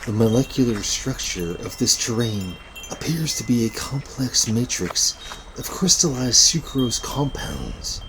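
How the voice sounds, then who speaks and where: A man's voice: mid-range voice, sing-song, measured pace, noisy background. A man playing a pedantic, unflappable scientist in a sci-fi audio sitcom, with pompous, precise, clinical phrasing. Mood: clinical fascination.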